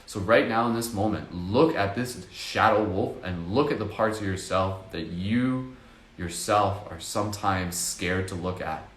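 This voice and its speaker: A man with a very deep voice leads a guided meditation in a slow, soft, hypnotic way, dropping in casual fillers as he goes. The room around him is echoey.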